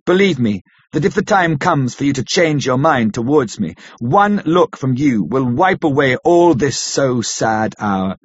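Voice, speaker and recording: A man voicing a grave, elderly foreign professor in an audiobook, stern yet compassionate, speaking in solemn, halting, formal phrases. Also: mid-range voice, big pitch swings, breathy edge, muffled recording. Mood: pleading, sorrowful. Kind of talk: dramatic audiobook character reading.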